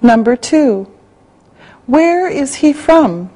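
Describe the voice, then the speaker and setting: A woman reading a language-class listening exercise, spelling out words and dictating sentences slowly and carefully. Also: high voice, lively intonation, mellow. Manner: slow, carefully spelled out, evenly paced. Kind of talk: slow scripted dictation.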